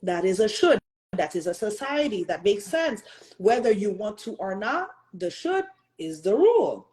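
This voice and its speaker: Earnest woman with a mellow voice. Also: mid-range voice, sing-song, moderate pace. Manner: earnest, emphatic, asks the audience rhetorical questions; switches into French and Haitian Creole mid-talk. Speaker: host. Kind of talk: motivational talk.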